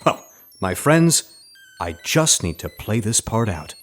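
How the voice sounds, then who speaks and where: A man's voice: fairly low pitch, very animated, takes it slowly, soft background music, heavily acted. Man playing a theatrical, self-important actor with swooping delivery. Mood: earnest, lofty. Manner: grandiose, dramatic, earnest. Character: self-important, theatrical male actor turned undercover spy. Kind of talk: scripted comedy audio drama acting.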